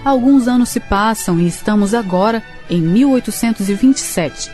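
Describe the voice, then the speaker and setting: A woman narrating a scripted radio drama in a measured storytelling manner. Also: medium pitch, animated, mellow, soft background music.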